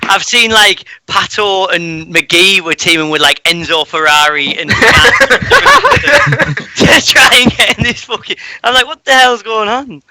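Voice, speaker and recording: A man's voice: sits high, sing-song, slow, pushed and bright, poor audio. A man rambling and swearing freely while hosting a casual gaming podcast. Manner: rambling, sweary, restarts a lot. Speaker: podcast host. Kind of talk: casual esports podcast chat.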